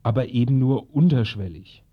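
A man reading a prepared political statement in a formal, measured, deliberate way. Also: mid-range voice, slightly rough. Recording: dull lo-fi radio recording.

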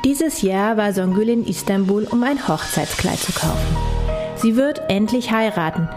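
A woman's voice: medium pitch, expressive, normal speed, over music. Woman narrating a scripted radio feature. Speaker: radio presenter.

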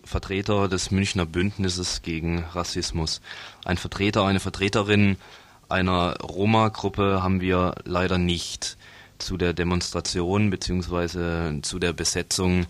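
A man's voice: low-pitched, no echo. A man presenting radio news in a scripted moderation style.

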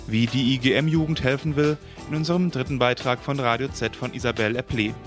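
A man's voice: medium pitch, fairly even intonation, brisk. A man presenting a radio news magazine, reading scripted introductions over music. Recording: music bed under the voice.

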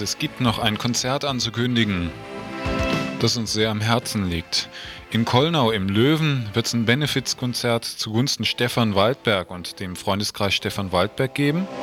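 Male radio presenter, lowish voice, loose and stumbling live announcements over a music bed. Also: a bit bright. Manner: informal, stumbling over words. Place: radio studio. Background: music under the voice.

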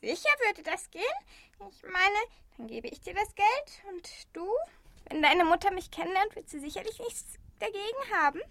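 A woman playing a kind, naive, lonely old grandmother in a German radio play, speaking gently and full of endearments. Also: very high voice, lively intonation, moderate pace, no room sound, full character voice. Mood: caring.

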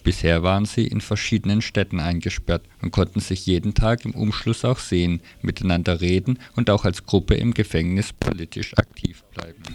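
A man's voice: lowish voice, darkish tone. A man presenting radio news, reading a scripted report.

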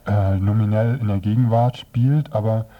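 A man presenting a scripted review on the radio as narrator, with measured, halting phrasing. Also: on the low side, fairly even intonation, narrow, tinny audio.

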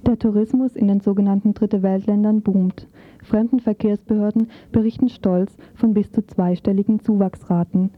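A woman narrates a scripted radio report. Her voice sits at a medium pitch, in a very dry recording with a narrow, telephone-like band. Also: steady tone, normal speed, no room sound. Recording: muffled, phone-like narrow band.

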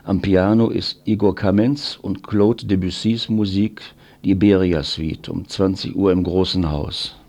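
A man with a low-pitched voice presents event listings on a community radio station. He works through announcements in an informational presenter's style. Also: steady tone, measured pace, few pauses, a little mellow.

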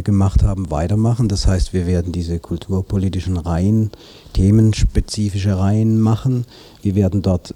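A man giving spontaneous interview answers as a spokesman, deliberate and often pausing mid-sentence. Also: low voice, warm tone, dry recording. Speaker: arts association spokesman, interview guest.

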